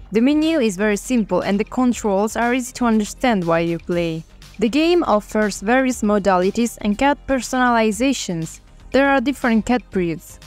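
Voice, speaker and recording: A woman's voice: a little high, a little mellow. A woman reading a scripted video narration in a plain voice, with a few fillers.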